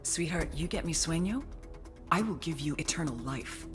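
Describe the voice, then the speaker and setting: A woman acting a tough, foul-mouthed intelligence officer in a video game cutscene, with a deliberate, hard-edged, menacing delivery. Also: low-pitched, expressive, music in the background. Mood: cold, menacing.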